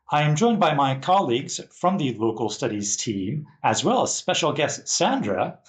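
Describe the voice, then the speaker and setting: A man from the US Pacific Northwest hosting a podcast and introducing his colleagues. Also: on the high side. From Pacific Northwest, United States. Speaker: podcast host.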